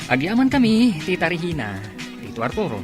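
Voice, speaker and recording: An actor playing a domineering young husband in a radio drama, curt and husky, with an Ilocano Filipino accent. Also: male voice, high-pitched, huge pitch swings, fairly quick, a little mellow, faint music behind. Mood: polite, assured.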